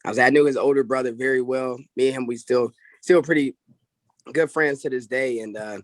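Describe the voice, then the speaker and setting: A man's voice: medium pitch, fairly even intonation, a little fast, no echo. Easygoing young man on a video call. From Illinois. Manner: easygoing, repeats "I'll say" and "oh, yeah". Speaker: wrestling head coach, guest. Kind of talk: casual video call interview. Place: small indoor room. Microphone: video call webcam.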